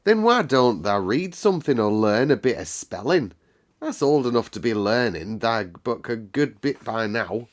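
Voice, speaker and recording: A man's voice: medium pitch, sing-song, normal speed, lightly acted. Storybook role: man playing young housemaid, blunt, scolding but kindly. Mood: puzzled, prodding. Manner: blunt dialect questioning and chiding.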